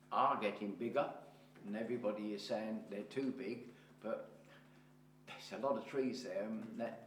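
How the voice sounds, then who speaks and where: A man chairing a parish council meeting, reporting in a halting way with many pauses and restarts. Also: on the low side. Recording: roomy hall with faint hum.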